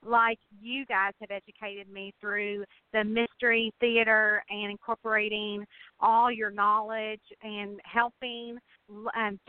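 A woman's voice: on the high side, dry recording. A woman chatting casually as an interview guest, effusive and folksy in the way she talks. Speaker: guest, mystery novelist. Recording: narrow phone-line sound.

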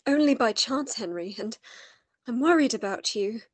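A woman playing an earnest, worried, caring gentlewoman in a radio play. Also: on the high side, animated, normal speed, breathy edge, no echo.

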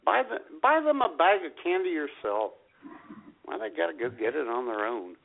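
A man's voice: on the high side, very animated, moderate pace, vocal fry. A man co-hosting a comedy radio show, sardonic and mock-folksy in his asides. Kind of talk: casual comedy banter. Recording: tinny phone-like sound.

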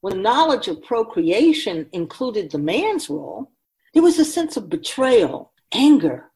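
A woman voicing a wise, ancient spirit who keeps souls, teaching patiently and deliberately in a scripted radio drama. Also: medium pitch, very animated, mellow, rough recording. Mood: patient, explaining. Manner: measured, deliberate, didactic, solemn.

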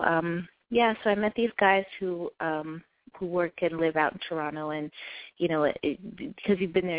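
Chatty woman singer on a phone line. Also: low-pitched. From Ethiopia, raised in suburbs near Washington DC. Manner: chatty and enthusiastic, many fillers and restarts. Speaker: guest singer-songwriter. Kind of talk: casual radio interview. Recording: dry, tinny phone-like line.

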